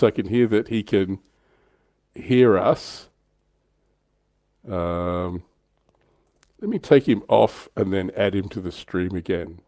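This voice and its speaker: A man with a very low, dark-toned voice hosts a casual livestream. His delivery is chatty and informal. The sound is dry, with hardly any room on it. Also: dry recording. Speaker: livestream host.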